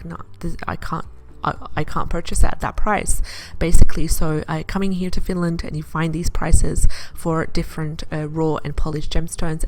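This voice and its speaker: Chatty woman from Iraq, lowish voice. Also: darkish tone, poor audio. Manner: chatty, enthusiastic, with frequent "uh" pauses. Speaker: vlogger. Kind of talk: casual vlog narration.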